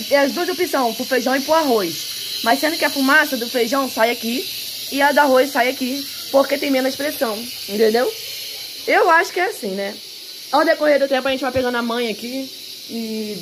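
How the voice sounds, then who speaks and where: A young woman with a Brazilian accent, chatting casually to viewers as she narrates a home cooking vlog. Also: high-pitched. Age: young adult. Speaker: home vlogger. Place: small tiled home kitchen, indoors. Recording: noisy hissy home-kitchen recording.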